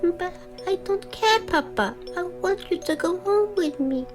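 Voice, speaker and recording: Female actor as devoted little bird-creature daughter, extremely high, plaintive, over prominent music. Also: animated, a little slow. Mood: hurt, pleading. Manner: childlike, plaintive, imploring. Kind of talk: dramatic audio story acting. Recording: loud music behind.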